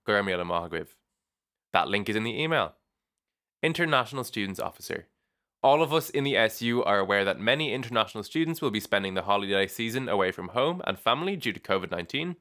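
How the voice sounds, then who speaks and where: Young guy reading out student news, fairly low voice but really bright and forceful, upbeat, jumps up at each new item. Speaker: student union announcer. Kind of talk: scripted weekly bulletin reading.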